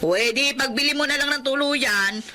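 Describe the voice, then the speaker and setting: An actress playing a scheming, persuasive older sister in a radio drama. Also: female voice, sits high, normal speed, bright, forceful tone. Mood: coaxing.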